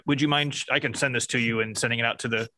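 A man chairing a city commission meeting, running motions and votes in a halting, procedural way. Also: mid-range voice, steady tone, pushed and bright, slight background noise.

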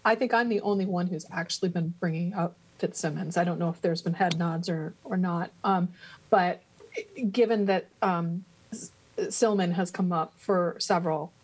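A woman with a slightly low voice speaks up at a council meeting. She talks loudly and frankly, plainly stating her view. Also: steady tone, normal speed, slightly rough, rough recording. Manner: loud, frank. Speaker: council member.

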